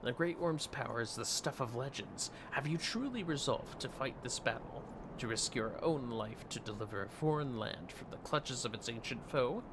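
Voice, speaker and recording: A man's voice: medium pitch, animated, normal speed, noisy background, lightly acted. A man voicing a solemn, aging retired knight, reading fantasy game dialogue in grave, formal, archaic phrasing.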